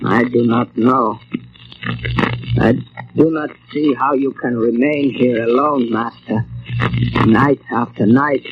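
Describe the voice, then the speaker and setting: An actor playing a superstitious, subservient manservant with buried resentment, halting and deliberate, in old radio drama style. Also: male voice, medium pitch, fairly even intonation, close to an everyday voice. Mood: timid, uneasy. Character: meek, superstitious foreign manservant, loyal but resentful. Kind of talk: 1940s radio drama acting. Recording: muffled old radio recording, noisy.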